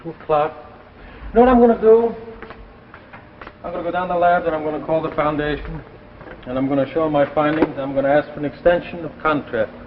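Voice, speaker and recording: A man acts as an absorbed, harried American scientist in a 1950s TV drama. His speech is clipped and hesitant, in a slightly high voice. The soundtrack is narrow and phone-like, with faint noise. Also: fast talker, long pauses, close to an everyday voice. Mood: determined. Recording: thin, hissy 1950s broadcast soundtrack.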